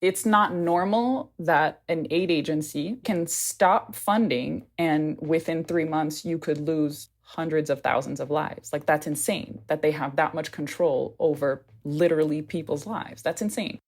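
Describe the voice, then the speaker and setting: A woman from Haiti with an American accent, speaking as an anthropologist in a candid, animated interview full of "like". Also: low-pitched, unhurried, hardly any pauses. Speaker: anthropologist and podcast guest. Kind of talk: conversational interview.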